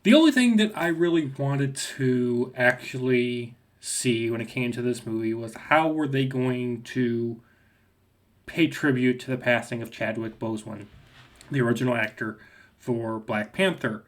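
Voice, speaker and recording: A young man with a slight cold talks through a casual movie review. He rambles, filling gaps with ums and restarting his sentences. His voice sits at an ordinary male pitch. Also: unhurried. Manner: rambling, with many fillers and restarts. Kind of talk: casual video review rant.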